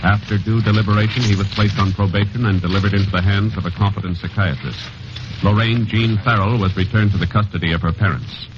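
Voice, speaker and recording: A man reading a formal, measured radio announcement. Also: on the low side, steady tone. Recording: dull old radio broadcast with hiss.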